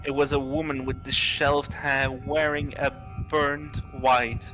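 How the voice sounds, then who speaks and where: A man reading visual novel dialogue and narration aloud on a livestream, halting and stumbling over some words. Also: medium pitch, level tone, music in the background, low-quality recording.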